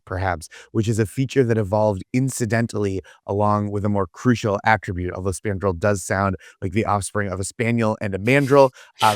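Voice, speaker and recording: A man's voice: lowish voice, no room sound, clean studio sound. An American man chatting casually and comically as a panelist on a news comedy podcast. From United States.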